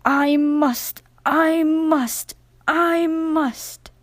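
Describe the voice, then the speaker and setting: Little storybook steam engine, male, young, played by a woman: high, plaintive, shivery, stubbornly determined. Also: fairly even intonation, very slow, no echo. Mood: strained, determined.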